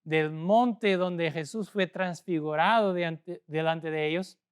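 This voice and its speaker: A man from Portugal with a Portuguese accent, a native Portuguese speaker, preaching a sermon as a pastor in a measured way with pauses. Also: on the high side, clean tone. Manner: measured, pausing, speaking a second language.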